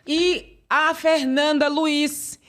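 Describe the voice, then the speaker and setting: An upbeat woman from Salvador hosts a relaxed podcast chat, her voice sitting a touch above most women's. She keeps the talk moving with quick, eager interjections. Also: sits high. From Salvador, Brazil. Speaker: podcast host. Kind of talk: casual chat.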